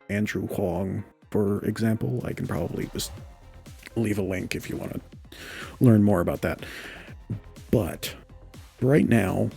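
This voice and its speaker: Low, gravelly young man explaining audio. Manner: relaxed, thinks aloud with self-corrections and filler "you know". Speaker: podcaster explaining audio mixing. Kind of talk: casual spoken tutorial. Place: home room with a fan running. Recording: home studio mic with quiet fan noise and faint music.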